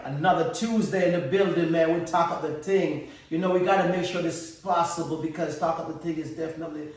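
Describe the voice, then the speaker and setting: A man's voice: on the high side, steady tone, quick pace. A young man with a Jamaican accent hosting a podcast, bantering in a playful, teasing way. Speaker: podcast host. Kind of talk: casual podcast chat. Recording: echoey room, mic at a distance.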